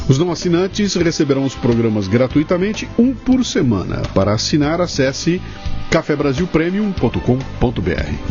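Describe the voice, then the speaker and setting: A man's voice: medium pitch, a little mellow, lo-fi sound. Brazilian man hosting a chatty podcast. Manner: informal, chatty, interjects opinions. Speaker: podcast host. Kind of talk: casual conversational interview.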